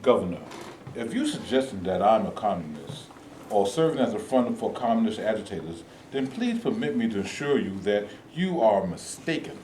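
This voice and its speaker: A man's voice: on the low side, expressive, moderate pace, a little mellow, slight background noise, close to an everyday voice. A man playing a stern, righteous reverend leading a protest, speaking with a solemn, preacherly manner. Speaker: actor in a staged reading. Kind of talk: stage play reading. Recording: roomy hall from a distant mic.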